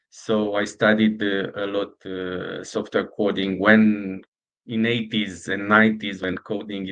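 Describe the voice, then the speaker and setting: A middle-aged man from Romania with a Romanian accent, a startup founder answering interview questions in halting speech full of fillers and restarts. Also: on the low side, highs cut off.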